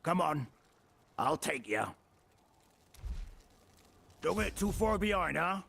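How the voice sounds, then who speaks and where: An actor playing a roguish, chummy port guide in a fantasy game, with a raspy, breezy, colloquial delivery. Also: male voice, fairly high pitch, very animated, slow, a bit bright, a little hiss or hum, exaggerated voice.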